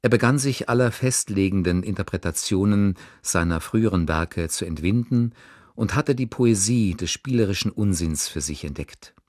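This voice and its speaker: A man's voice: deep voice, lively intonation, moderate pace, a little mellow, dry recording, clean studio sound. A man presenting a radio broadcast, reading a scripted introduction in a formal, informative way.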